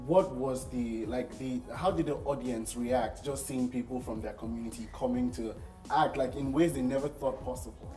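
A man's voice: medium pitch, normal speed, warm tone. A young man with a Nigerian accent hosting an upbeat magazine show interview. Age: young adult. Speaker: host interviewing guests. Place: open-plan office studio. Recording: roomy, slightly distant mic, music bed.

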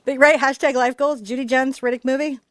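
A woman's voice: sits high, steady tone, a little fast, a bit bright, no echo. A middle-aged woman chatting informally and digressively as she teaches painting in an indoor studio. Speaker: host teaching a live painting class. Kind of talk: casual chat and painting demonstration.

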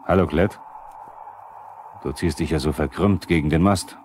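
An actor playing a probing narrator who addresses the characters directly, in a 1970s East German radio drama. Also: male voice, rumbling low, moderate pace, darkish tone. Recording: noisy 1970s vinyl radio play.

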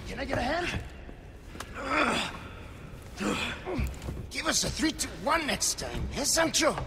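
A man's voice: very high-pitched, wildly swooping pitch, fairly quick, gravelly, in a large hall, over music, full character voice. An actor playing a cocky, teasing Spanish ladies' man in a video game, with a Spanish accent and theatrical playfulness. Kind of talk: dramatic video game dialogue.

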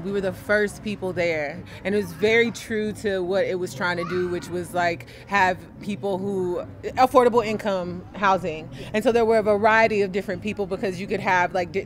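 A young woman from Dallas tells a personal story in a chatty, animated way, with a voice of middling pitch. She was recorded outdoors on a sunny lawn by apartment buildings. A faint outdoor hum sits behind her. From Dallas, Texas. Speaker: interviewee. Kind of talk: casual storytelling.

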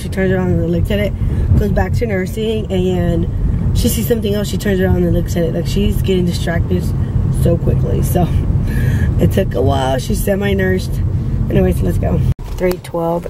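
A young woman vlogging casually at home and in her car, filming herself at arm's length. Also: medium pitch. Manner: chatty, talks softly while baby sleeps. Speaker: family vlogger. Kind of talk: casual vlog narration. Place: living room at home, later in a car. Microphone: handheld camera at arm's length. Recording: noisy home and car background.

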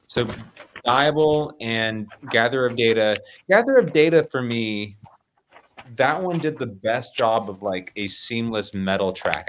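A man in his thirties with a medium-pitched voice talks casually as a music review panelist. He thinks aloud in a halting way, close to a desk microphone in a small home room. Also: slight background noise.

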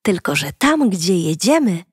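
A woman narrating a children's audiobook as a cheerful young girl, talking brightly and directly to young listeners. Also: fairly low pitch, sing-song, a little slow, slightly rough, no room sound, studio quality. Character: cheerful young girl telling her own story. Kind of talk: first-person storybook narration for children.

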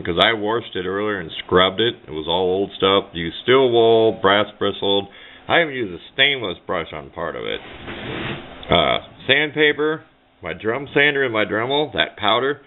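Folksy guy rambling thru a diy demo, kinda low voice, tinny phone-like sound. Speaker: hobbyist radio video maker. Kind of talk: casual hands-on demonstration commentary. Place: indoor workbench. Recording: tinny, band-limited camera mic with noise.

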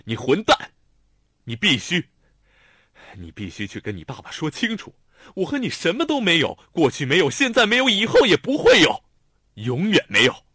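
A man voicing a hot-tempered young male student who talks in a sharp, raised, angry way for an audiobook. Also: lowish voice, wildly swooping pitch, quick pace, few pauses, husky, no echo, clean studio sound, heavily acted. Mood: furious. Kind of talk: audiobook character voice.

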